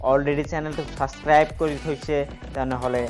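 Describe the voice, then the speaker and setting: A man's voice: fairly high pitch, flat delivery, very fast, faint music behind. A man, reading a scripted video channel outro to viewers.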